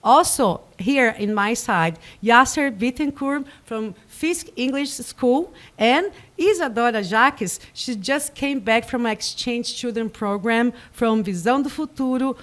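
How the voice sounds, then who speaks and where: A woman's voice: a little high, a little slow, hardly any pauses, fairly bright tone. Brazilian woman hosting a radio show, eager. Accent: Brazilian Portuguese. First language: Portuguese. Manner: enthusiastic, inviting, a little nervous. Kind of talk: casual live studio chat. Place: radio studio.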